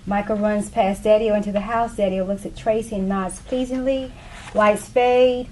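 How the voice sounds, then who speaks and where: A woman's voice: medium pitch, steady tone, moderate pace, mellow, low-quality recording. A woman reading stage directions as the narrator of a scripted play. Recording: faint background noise.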